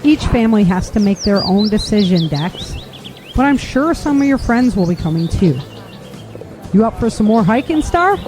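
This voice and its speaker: An actress playing a reassuring, resolute mother, earnest and gentle, in an audio drama. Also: medium pitch, very animated, moderate pace, lightly acted. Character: reassuring, resolute mother leading her family. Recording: noisy outdoor ambience with birds.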